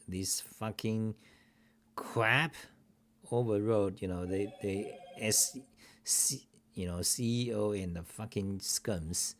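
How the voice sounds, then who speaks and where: Middle-aged male host, deep and unhurried, rambling, profane, grumbling. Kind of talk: casual screen-share talk show. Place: home desk, indoors.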